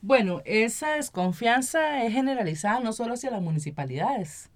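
A woman's voice: a little high, expressive, normal speed. A woman with a Costa Rican accent speaking as a guest in a conversational radio interview, explaining at length and often checking in with tag questions. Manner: explanatory, often tags statements with "¿verdad?". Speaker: interview guest, local council member and activist.

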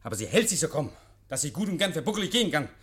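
An actor in a 1950s German radio play, playing a nervous, evasive private scholar who talks in a fussy, pedantic, over-polite and agitated way. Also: male voice, on the high side, very animated, rapid-fire, fairly bright tone, breathy edge, heavily acted. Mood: testy, scornful. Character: nervous, evasive male private scholar hosting policemen, defensive and testy. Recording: vintage 1951 radio drama recording.

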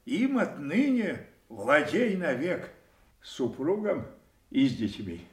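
Aged monarch, proud, declamatory, commanding, unhurried, roomy echo, classical radio theatre. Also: male voice, fairly high pitch, big pitch swings. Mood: regal, solemn. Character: old king, proud, imperious, quick to rage. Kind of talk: classical stage acting in an audio drama.

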